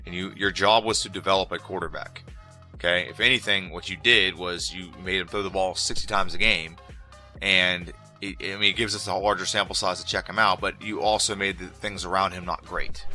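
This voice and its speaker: A man's voice: low voice, steady tone, a little fast, bright, forceful tone, faint music behind. A man talking casually to his viewers as a sports vlogger, sounding nasal from a head cold, rambling and restarting his sentences. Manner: loose, rambling, repeats words and restarts. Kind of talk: casual opinion monologue to camera.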